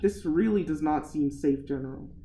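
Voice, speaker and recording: Soft-toned anxious parent played by a woman. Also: low voice, normal speed, natural voice. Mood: uneasy. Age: young adult. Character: frantic father, scientist whose son is lost. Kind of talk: radio play acting.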